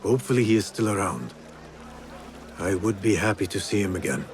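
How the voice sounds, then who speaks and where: An actor playing a young male assassin in a game, calm, with a dry wit. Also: sits low, a little fast.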